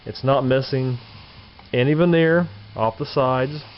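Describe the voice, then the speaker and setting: A man's voice: mid-range voice, unhurried. Folksy man, dull lo-fi recording. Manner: folksy, colloquial, talks through details step by step. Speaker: vintage radio collector presenting a find. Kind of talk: casual unscripted show-and-tell narration. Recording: dull lo-fi camera sound, background noise.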